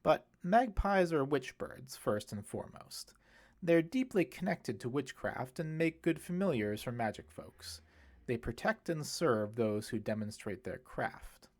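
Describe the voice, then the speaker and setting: A man voicing a kindly, musing priest in an audiobook, speaking in a reflective, explanatory way with gentle wit. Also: mid-range voice, very animated, unhurried. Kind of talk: audiobook character dialogue.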